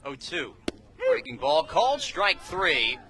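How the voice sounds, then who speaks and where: A man's voice: high voice, wildly swooping pitch, slow, gravelly, bright, forceful tone. A man calling live baseball play-by-play on the radio in clipped, descriptive phrases from an outdoor ballpark. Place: outdoor ballpark, beside the field.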